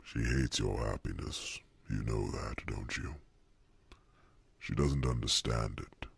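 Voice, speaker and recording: An ancient druid, with an extremely low voice full of rasp and breath. He speaks very slowly and deliberately, a wise and wry fantasy mentor addressing royalty with theatrical pauses. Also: full character voice. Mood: wry, gentle, hushed. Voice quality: very deep gravelly rasp, breathy. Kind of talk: ASMR fantasy roleplay acting.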